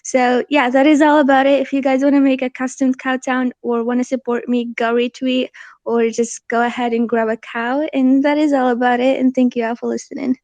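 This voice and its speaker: Female, late teens, higher voice with flat intonation, earnest informal pitch as a guest, dry close sound. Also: a little fast, no room sound, dull audio. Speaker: guest, creator promoting a project.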